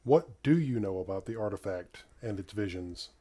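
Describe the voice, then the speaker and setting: A man playing a solemn, guarded explorer hero in a visual novel, speaking in a measured, grave way. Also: on the low side, lively intonation, dark tone, natural voice.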